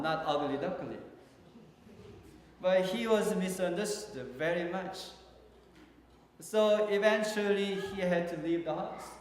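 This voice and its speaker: Korean-accented male pastor, slow, halting sermon delivery, echoey hall sound. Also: high-pitched, a little hiss or hum. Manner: slow, halting, with many fillers and restarts. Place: large church hall.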